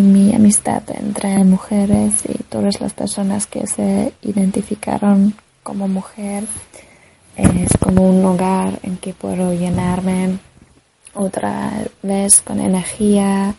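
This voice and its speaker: A woman who is not a native speaker sending a friendly, slightly halting voice message as a listener. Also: mid-range voice, completely flat delivery, vocal fry, dark tone, slight background noise, poor audio. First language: not Spanish. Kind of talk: casual voice message.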